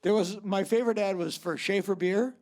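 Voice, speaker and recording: A man's voice: high-pitched, brisk, darkish tone. A man hosting a live comedy talk show on a theater stage, rambling and giggly with mock bewilderment.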